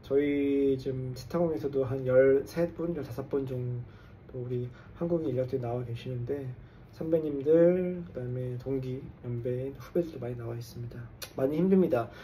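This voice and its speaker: A man's voice: medium pitch, moderate pace, mellow, recorded from a distance, slight background noise. A man in his forties from South Korea, a little tipsy, talking casually to camera as an engineer and coach and mixing English jargon into his talk. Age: 40s. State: tipsy after drinks. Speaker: construction engineer and English coach hosting a channel. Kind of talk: casual vlog monologue to camera.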